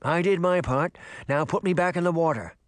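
A man's voice: a little high, normal speed, dry recording, studio quality, pitched above the performer's own voice, natural voice. A man voicing a grouchy, sarcastic talking fish in a storybook, with a gurgling voice and blunt, complaining delivery. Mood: grumbling, blunt. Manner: gruff complaining, streetwise insults.

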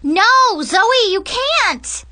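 A woman voicing an excitable, awkward, eager-to-please teenage girl with a gushing, hesitant manner in a storybook narration. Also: extremely high pitch, extremely slow, no room sound, voice pushed up, full character voice. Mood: panicked, fake cheer.

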